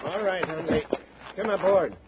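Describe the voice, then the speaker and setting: An actor playing a stern, irritable scheming space outlaw, bossy and no-nonsense, in a 1950s radio serial. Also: male voice, high voice, moderate pace, natural voice. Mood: curt, polite. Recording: muffled 1950s radio, heavy background noise.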